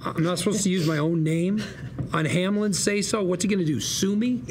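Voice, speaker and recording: A man's voice: high voice, brisk, background noise. An actor playing a scheming, sarcastic lawyer with a folksy salesman's patter at a script table read. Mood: sarcastic, indignant. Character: scheming, sarcastic younger brother, a slick fast-talking lawyer.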